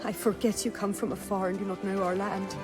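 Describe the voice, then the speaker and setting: A woman's voice: medium pitch, level tone, a little fast, over music, natural voice. Actress as an anxious, courtly young noblewoman. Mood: worried. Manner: formal, florid, theatrical. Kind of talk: video game character acting.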